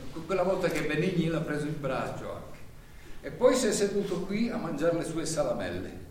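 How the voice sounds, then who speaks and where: An actor plays a chatty, anxious man who rambles on and stammers over his words. His voice sits a little high for a man. It comes from a distance across a live stage, with a strong roomy echo and a clear hiss of noise. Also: natural voice. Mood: nostalgic. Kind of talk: live theatre performance. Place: theatre stage. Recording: roomy stage sound from far off, noisy.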